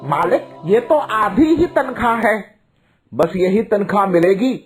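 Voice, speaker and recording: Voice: medium pitch, expressive, unhurried, faint music behind, close to an everyday voice. A performer voicing a poor, deferential male shop worker in a cartoon, begging meekly. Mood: pleading.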